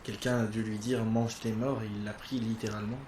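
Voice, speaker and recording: A man's voice: lowish voice, level tone, brisk, darkish tone, slight background noise, natural voice. A man playing a flippant colleague on an investigation in a French audio drama, casual and wry with loose, hesitant phrasing. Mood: wry, musing. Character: flippant, chatty male investigation colleague cracking dark jokes.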